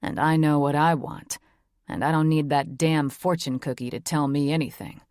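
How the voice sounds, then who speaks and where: Woman doing a teasing young boyfriend, low voice, dry close sound. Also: fairly even intonation, normal speed, a little mellow, no room sound, close to an everyday voice. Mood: tender. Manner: teasing, earnest, swears casually. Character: young man, playful devoted boyfriend. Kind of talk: audiobook character voice.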